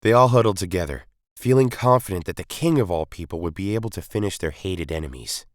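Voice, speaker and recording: Male story reader, low voice, dramatic scripted narration, close dry sound. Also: expressive, fast, no echo.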